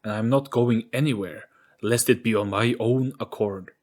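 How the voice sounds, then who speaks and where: A man's voice: medium pitch, normal speed, darkish tone, natural voice. A man voicing a smug, sardonic trickster spirit in a storybook audio play, breezy and flippant in manner. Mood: smug, amused. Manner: breezy, flippant, self-satisfied.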